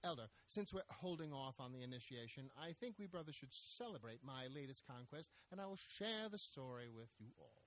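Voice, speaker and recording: A man's voice: medium pitch, animated, fairly quick, few pauses, a bit bright, lightly acted, rough recording. An actor playing an arrogant, mocking killer who brags to his fellow cult members. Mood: smug, mocking. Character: boastful, smug middle-aged male killer. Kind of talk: radio drama acting.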